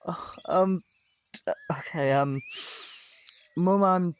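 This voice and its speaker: A man playing a shy, nervous young man in an audio drama, talking haltingly and unsurely. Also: high voice, clean tone. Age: young adult. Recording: noticeable background noise with birdsong.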